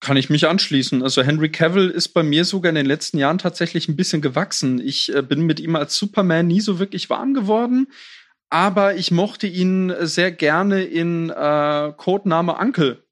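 A man chatting casually and with clear opinions as co-host of a film news show. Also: on the high side, normal speed.

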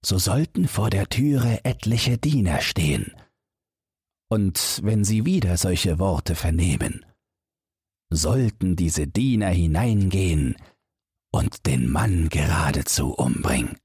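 A very husky man with a low voice reads a bedtime fairy tale. He takes his time, phrasing each sentence deliberately as an audiobook narrator. The recording is close and dry. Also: animated, a little mellow, dry recording. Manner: measured, storybook reading with deliberate phrasing.

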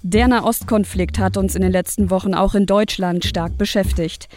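A woman presents a podcast with a scripted introduction. Her voice sits at a medium pitch, with faint music playing behind it. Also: fairly even intonation, moderate pace, a little mellow.

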